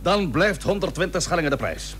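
An actor playing a plucky, stubborn young seafarer and merchant in a period radio drama, speaking earnestly in formal old-fashioned phrases. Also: male voice, on the high side, expressive, brisk, fairly bright tone. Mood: firm, unyielding. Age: young adult. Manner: earnest, formal archaic speech. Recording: noisy old radio recording with hum.

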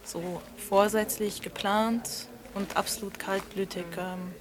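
An actress playing a careful interviewer in a naturalistic audio drama, with halting, tentative questions. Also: female voice, mid-range voice, little pitch movement, background noise, close to an everyday voice. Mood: careful probing. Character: careful, hesitant woman interviewer questioning murder witnesses.

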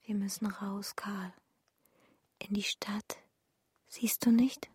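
A woman's voice: mid-range voice, little pitch movement, slow, darkish tone, husky, no echo, clean studio sound. An actress playing an insistent, probing young woman in a radio drama. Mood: urgent, hushed. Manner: insistent, repeating questions.

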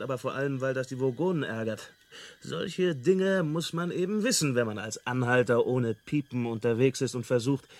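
An actor playing an unflappable galactic hitchhiker in a radio drama, explaining things in a nonchalant, amused way. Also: male voice, medium pitch, natural voice. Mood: breezy, amused. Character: breezy, unflappable male galactic hitchhiker, experienced field researcher.

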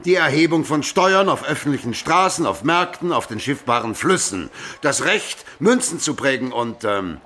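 An actor playing a blustering, imperious medieval emperor in a radio drama, indignant and booming. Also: male voice, lively intonation, moderate pace, pushed and bright. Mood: loud, blustering. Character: hot-tempered, blustering medieval German emperor.

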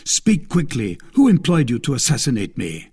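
A man's voice: medium pitch, huge pitch swings, normal speed, a little mellow, husky, full character voice. A man voicing a commanding young swordsman of twenty, stern and imperious, in an audiobook. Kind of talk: dramatised audiobook character voice.

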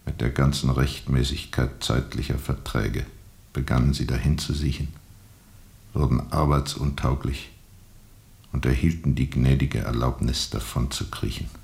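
Ein Schauspieler spielt in einem Hörspiel einen weltmüden, von dunklen Erinnerungen gequälten Flusskapitän, der mit rauer Stimme bedächtig erzählt. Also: male voice, a bass voice, creaky, a little mellow, exaggerated voice. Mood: haunted, grave. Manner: deliberate, pausing and repeating for emphasis. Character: world-weary riverboat captain recounting dark memories, brooding and haunted. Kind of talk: radio drama monologue, slow storytelling.

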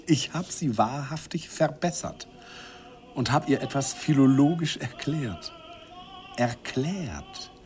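A deep-voiced wistful narrator. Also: male voice. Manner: wistful, self-ironic reminiscing. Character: grown man fondly recalling his youth, self-mocking. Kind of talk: radio play narration.

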